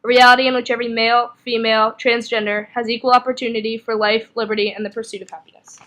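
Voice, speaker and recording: A woman with a fairly high voice recites spoken word poetry. Her delivery is dramatic and emphatic, shaping each phrase deliberately in a slam style. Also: flat delivery, fairly bright tone, rough recording.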